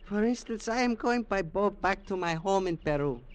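A smooth, evasive old Peruvian antiques collector in an old-time radio play. His voice sits high. He hesitates now and then but keeps pressing his case. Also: lively intonation. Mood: persuasive. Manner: formal, slightly halting and persuasive. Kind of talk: 1950s radio drama acting.

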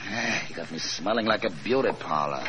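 An actor playing a tough, domineering gangster boss in a 1940s radio crime drama, blunt, slangy and commanding. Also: male voice, fairly low pitch, animated, fairly quick, natural voice. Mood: brusque, dismissive. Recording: noisy old 1940s radio recording.

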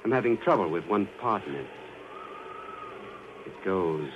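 An actor in 1940s radio drama playing a vengeful man who speaks with quiet, controlled menace. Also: sits low, animated, unhurried. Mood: sinister. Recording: dull old radio broadcast with faint hiss.

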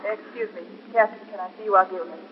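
An actor playing a grave, restrained hospital doctor in a 1950s radio drama, speaking quietly and in a measured way. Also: male voice, high voice, natural voice. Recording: echoey, dull, hissy 1950s radio recording.

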